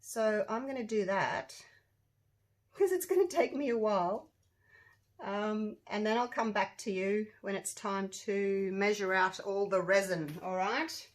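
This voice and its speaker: A woman with a croaky voice, chatting casually to viewers as she demonstrates a resin craft project. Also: medium pitch, measured pace. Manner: chatty, rambling, talks to viewers while working. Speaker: resin craft channel host.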